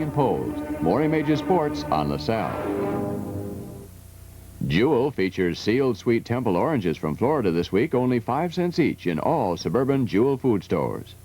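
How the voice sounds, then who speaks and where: A man's voice: medium pitch, darkish tone. A man reading scripted television advertisements as an announcer. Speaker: commercial announcer. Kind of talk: scripted TV ad read.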